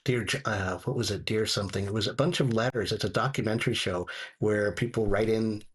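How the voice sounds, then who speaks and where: Husky, lowish-voiced man chatting. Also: steady tone, a little fast. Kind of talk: casual chat.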